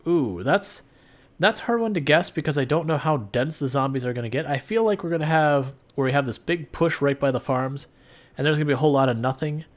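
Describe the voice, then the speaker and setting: Calm soothing male game streamer. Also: fairly high pitch, fairly quick. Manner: calm, soothing, laid-back. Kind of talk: casual live stream gameplay commentary.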